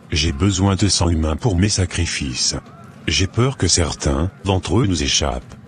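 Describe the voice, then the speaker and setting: A man's voice: low-pitched, slight background noise. A man voicing a commanding, menacing evil occult master in a cartoon. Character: commanding male evil occult master scheming to destroy church members. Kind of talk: dramatic animated dialogue.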